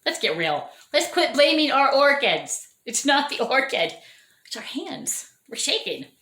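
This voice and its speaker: A chatty, enthusiastic woman talks through her orchids with a lively, swooping voice, often correcting herself as she goes. Her voice is fraying from overuse. She's recorded indoors in an echoey room at home. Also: high voice, a little slow. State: losing her voice. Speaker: orchid grower showing her plants. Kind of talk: casual show-and-tell vlog.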